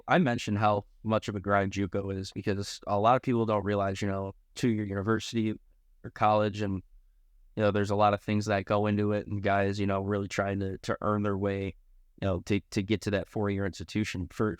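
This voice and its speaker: A man with a fairly low voice hosts a sports interview, recorded dry without room sound. He talks conversationally and builds long, wandering questions. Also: dry recording. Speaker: podcast co-host and interviewer.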